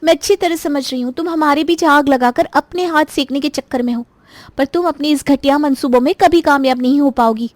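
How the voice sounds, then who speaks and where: Woman voicing a very high, proud young-looking sister-in-law. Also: fairly quick, dry recording, natural voice. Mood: scolding. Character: youthful-looking elder sister-in-law, indignant, proud, sharp-tongued. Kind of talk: Hindi cartoon voice acting.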